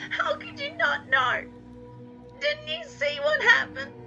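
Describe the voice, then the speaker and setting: Woman acting a very high, distraught young girl. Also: big pitch swings, slow, gravelly, muffled recording, faint music behind, exaggerated voice. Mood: anguished. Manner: high, crying, shouting. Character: distraught, defiant young girl, powerful and angry. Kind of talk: dramatic audio story reading.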